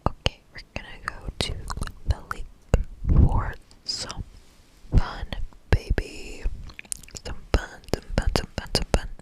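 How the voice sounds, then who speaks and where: A woman speaks in a steady, breathy whisper, reading a video game aloud for ASMR. Her delivery is playful, slipping between narration and small asides. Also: slow pace, pauses often, poor audio.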